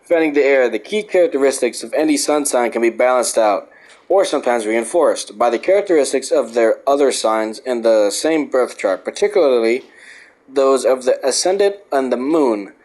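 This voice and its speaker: Young adult man, mid-range voice going hoarse, reads aloud then rants off-script with plenty of swearing. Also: mid-range voice, expressive, moderate pace, darkish tone, rough recording. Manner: rambling, profane, ranting. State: losing his voice. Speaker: host. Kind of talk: reading a book aloud with casual commentary.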